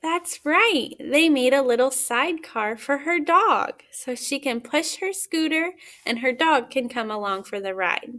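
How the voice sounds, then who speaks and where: High-voiced woman reading a picture book aloud. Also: animated, fairly bright tone. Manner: simple questions with pauses for answers. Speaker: teacher reading aloud to children. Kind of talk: picture-book read-aloud with questions to young children.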